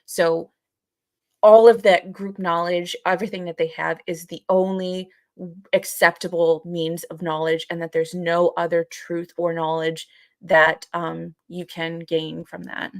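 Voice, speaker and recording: Casting: female podcast co-host, lowish and even-toned, explains haltingly with repeated phrases. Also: no echo, clean studio sound. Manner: halting, repetitive as thoughts are worked out. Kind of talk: explanatory discussion.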